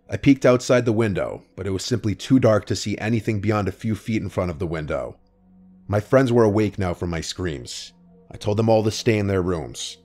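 A man tells a first-person horror tale in a scripted, measured narration. His voice sits low and hushed throughout. Soft music plays faintly beneath him.

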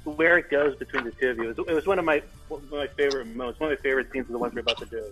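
Fast-talking, halting enthusiastic man. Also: mid-range voice, a bit bright, soft background music, rough recording. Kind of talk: casual chat.

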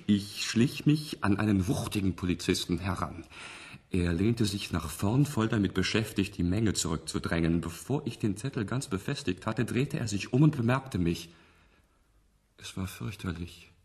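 A man in a German radio play playing a young test subject who relives memories in a trance, halting and wondering. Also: on the low side, a little mellow, breathy edge. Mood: grim recollection. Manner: halting, searching, wondering delivery.

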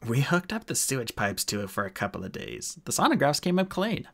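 A man's voice: mid-range voice, very animated, brisk, creaky, voice pushed up. A man voicing a sulky, cheeky dwarf convict from an audiobook, with slangy, flippant wheedling. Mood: unrepentant.

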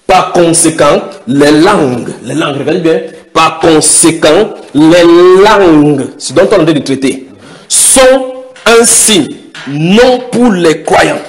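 A man's voice: on the high side, expressive, low-quality recording. A man preaching a sermon, questioning the congregation and stretching out key words for emphasis. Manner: emphatic, questions the audience, drawn-out stresses.

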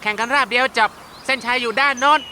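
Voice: mid-range voice, steady tone, quick pace, lightly acted. An actor voicing a cheeky, boastful young male rival in a cartoon, talking in a brash, teasing way. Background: cartoon music.

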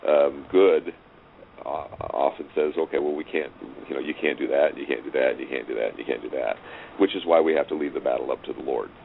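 A middle-aged man with an extremely low voice talks as an interview guest. He is thoughtful and halting, often restarting a sentence. The sound is a narrow, phone-like line with some noise. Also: fairly even intonation, vocal fry. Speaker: interview guest, radio drama writer. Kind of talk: casual reflective interview. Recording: noisy, muffled phone-like line.

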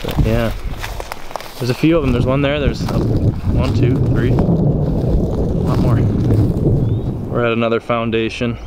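An American man gives casual, curious commentary while exploring on foot. His voice sits at an average pitch. It is caught on a handheld camera outdoors, with clear background noise. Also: little pitch movement, measured pace, pauses often. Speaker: host of an exploring vlog. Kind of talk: casual walking commentary to viewers. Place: outdoors in an open overgrown field. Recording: noisy outdoor camera audio.

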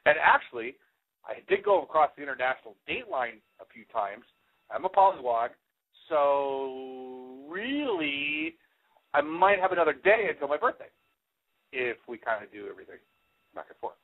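A man's voice: medium pitch, very animated, normal speed. Man hosting over a tinny, phone-like line. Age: 40s. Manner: rambling, frequently hesitant delivery. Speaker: radio show host. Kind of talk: casual unscripted show announcements. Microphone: headset. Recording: phone-like, band-limited internet radio sound.

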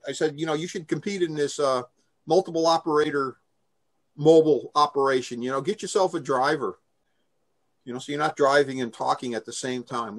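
An older man giving an informal, explanatory briefing and answering questions over a video call. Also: medium pitch. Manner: explanatory, conversational. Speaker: presenter taking questions. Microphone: video call microphone.

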